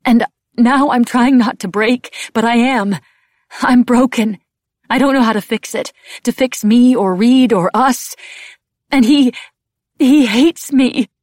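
A desperate, irreverent young woman in an audiobook, played by a woman narrator. Her voice is husky and fairly low with a gravelly edge. She speaks in halting, emotional pleas, bitter underneath. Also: dry recording, studio quality. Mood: desperate, pleading. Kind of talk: audiobook character dialogue.

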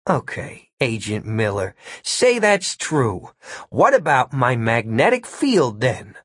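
A man performs a male hacker in an audiobook, cheeky and politely smug. He reasons calmly and persuasively, with a lively rise and fall. The sound is close and dry. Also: mid-range voice, slow, husky, no room sound, exaggerated voice. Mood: reasonable, teasing. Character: calm, cheeky, smooth-talking male computer hacker. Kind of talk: audiobook character voice.

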